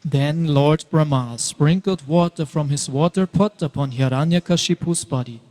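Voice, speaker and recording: A man's voice: on the high side, moderate pace, darkish tone. A man narrating a scripted devotional story as part of a dramatic reading. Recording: hall with long reverb.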